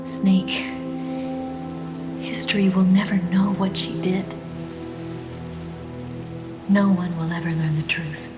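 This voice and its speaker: Grave, lowish-voiced woman over loud music. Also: fairly even intonation. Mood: mournful, reverent. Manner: measured, grave. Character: grave female intelligence informant, mournful and reverent. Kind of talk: dramatic video game narration.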